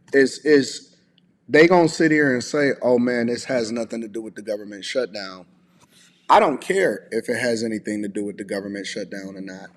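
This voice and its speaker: A man with an American accent and a mid-pitched voice gives opinionated commentary. He talks casually and emphatically, circling back to repeat his points. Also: slight background noise. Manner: emphatic, repetitive, conversational. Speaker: commentator, host.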